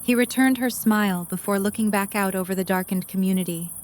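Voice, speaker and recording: A woman's voice: mid-range voice, fairly quick. A woman reading scripted third-person story narration for an audio drama.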